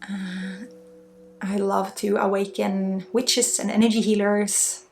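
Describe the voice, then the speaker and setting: A woman with a Swedish accent guides an ASMR spiritual session as an energy healer. Her mid-pitched voice is thick with vocal fry, and she talks in an informal, reassuring way. Faint music plays behind her. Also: level tone, slow pace, a little mellow. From Sweden.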